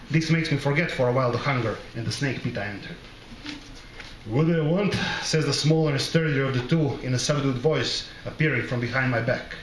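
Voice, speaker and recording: A middle-aged man from the former Yugoslavia reading his literary short story aloud at a microphone, in a measured, deliberate and wry way. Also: medium pitch, a bit bright. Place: small bar with brick wall, indoors. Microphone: close microphone on a stand. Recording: roomy live recording, background noise.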